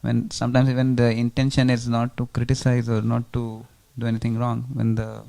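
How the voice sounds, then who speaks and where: A man offers a reflection in a group discussion. His voice is mellow, with plenty of fry, and stays nearly level in pitch throughout. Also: monotone, brisk.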